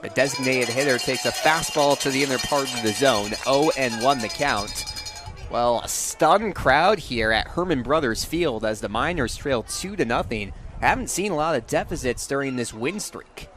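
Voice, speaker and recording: A man's voice: medium pitch, lively intonation, normal speed, fairly bright tone, faint music behind. A young man calling a game live as a sports play-by-play announcer. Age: young adult.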